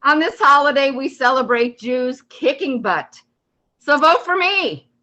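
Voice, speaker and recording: A smug, showy holiday personified on an amateur stage by a woman. The voice is high and bright, boasting slowly and deliberately with lots of swoop. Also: animated, dull audio, full character voice. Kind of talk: amateur reader's theater.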